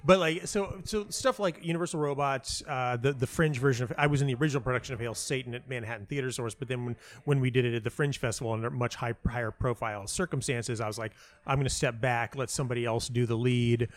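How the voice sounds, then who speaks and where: A man's voice: medium pitch, fast. A man giving casual interview answers as a playwright, rambling and often interrupting himself as he tells stories. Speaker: playwright and audio dramatist being interviewed.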